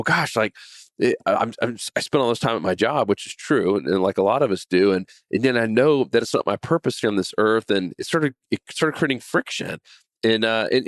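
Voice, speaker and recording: A man in his forties talks straight into a close, dry microphone. His pitch sits in an ordinary middle range, and his delivery is earnest and conversational. He often stumbles and repeats words while hosting a casual faith talk. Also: no echo. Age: 40s. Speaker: podcast host.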